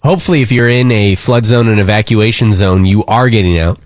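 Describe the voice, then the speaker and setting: A man's voice: on the low side, a little fast, no echo, clean studio sound. An American man hosting a live radio show, talking casually and earnestly in a rambling, concerned way.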